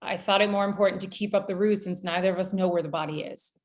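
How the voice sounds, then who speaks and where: A woman's voice: medium pitch, fairly even intonation, fast, close to an everyday voice. Actress as a guarded, defensive woman. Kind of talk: table read acting of a screenplay.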